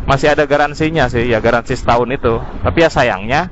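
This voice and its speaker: A man's voice: medium pitch, fast. A man casually reviewing gear in an informal way, mixing in English terms, recorded on a helmet intercom mic while riding. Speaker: motovlogger. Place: outdoors, riding a scooter in city traffic. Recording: dull intercom audio with faint vehicle noise. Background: faint traffic and engine noise.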